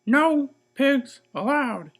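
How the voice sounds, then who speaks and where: A man's voice: very high-pitched, lively intonation, drawn-out words, fairly bright tone, voice pushed up, heavily acted. A man voicing a stern, opinionated older sister in a children's storybook, haughty and scolding. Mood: furious.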